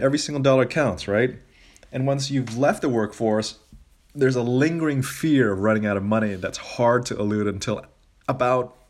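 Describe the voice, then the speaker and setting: A middle-aged man hosting a solo personal finance podcast, talking casually and giving emphatic advice with colloquial asides. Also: mid-range voice. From grew up in Asia and Zambia, living in the United States.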